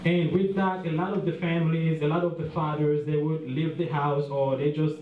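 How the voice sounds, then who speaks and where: A man's voice: fairly high pitch, little pitch movement, moderate pace. A young man from Haiti, giving an earnest, plain-spoken slide presentation as a guest speaker at a dinner. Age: young adult. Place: large dinner hall. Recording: roomy, distant, dull sound, faint hiss.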